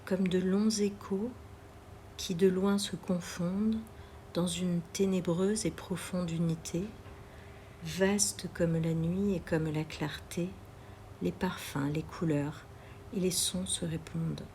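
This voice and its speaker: Woman, poetry reading, very unhurried pace, deliberate pauses, slightly low voice, some background noise. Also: steady tone, mellow. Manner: measured, deliberate phrasing with pauses. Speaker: poetry reader. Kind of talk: literary poetry reading aloud.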